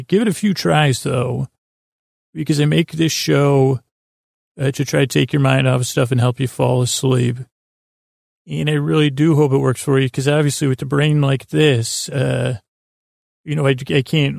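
A man hosting a bedtime sleep podcast in a creaky, gravelly voice, meandering and lulling, often halting and repeating himself. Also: mid-range voice, darkish tone, no room sound, studio quality. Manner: lulling, soothing. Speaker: podcast host.

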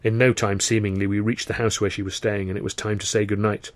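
A man's voice: on the low side, level tone, quick pace, no echo. A man reading a first-person novel as a scripted audiobook narration.

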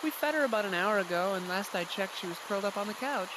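Actress as polite hostess, noisy background. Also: female voice, mid-range voice, expressive, quick pace, close to an everyday voice. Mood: welcoming. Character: polite, hospitable woman welcoming a guest. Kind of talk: audio drama acting.

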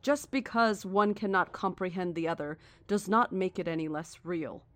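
Calm, cryptic female cult founder played by an actress, dry sound. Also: lowish voice, no echo, lightly acted. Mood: calm, knowing. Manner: measured, formal, deliberate. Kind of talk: horror audio drama acting.

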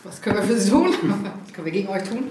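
A woman's voice: medium pitch, expressive, fast, a little mellow, roomy sound, slight background noise. A woman working in a front office, chatting casually and playfully with visitors.

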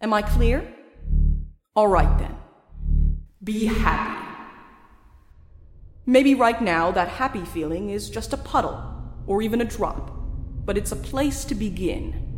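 A woman playing a sinister self-help guide spirit in an audio drama, speaking in a measured, formal, patronizing way with false sympathy. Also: mid-range voice, lively intonation. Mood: commanding, impatient. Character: sinister female self-help guide spirit, soothing, condescending, coldly predatory. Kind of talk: audio drama monologue, guided-meditation parody. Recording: echoey reverberant hall effect, music underneath. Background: music.

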